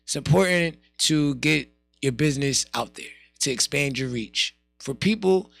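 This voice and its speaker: A man's voice: on the high side, unhurried, creaky, fairly bright tone, dry recording, soft background music. An American man hosting a casual morning radio show, emphatic and streetwise, repeating phrases for emphasis.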